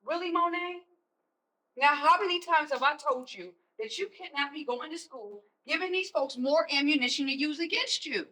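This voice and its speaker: Stern, bright-voiced American mom. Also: female voice, sits high, moderate pace, rough recording. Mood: scolding, exasperated. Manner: scolding, folksy colloquial phrasing. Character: stern, exasperated, no-nonsense mother of a teenager. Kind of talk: stage play acting.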